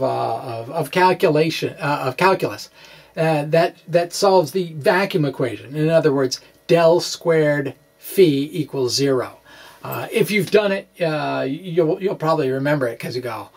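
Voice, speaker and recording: A middle-aged man giving an informal explanation to camera as a physics blogger, halting and often repeating words. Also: a little high, expressive, vocal fry. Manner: halting, hesitant, full of repeated words and tags like "okay?"; chuckles as he talks. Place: small indoor room with bookshelves.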